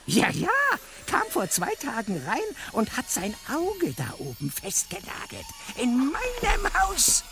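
A man playing a tiny, scrawny, eccentric man in a German radio play, paranoid about aliens, excitable, emphatic and cackling. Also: very high voice, slow, hardly any pauses, over music, heavily acted. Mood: insistent, conspiratorial.

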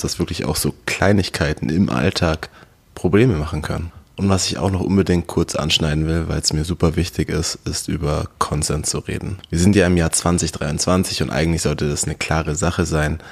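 A man co-hosting a casual podcast, earnestly explaining his points step by step. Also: very deep voice. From half American, half German, born in Germany. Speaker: podcast co-host.

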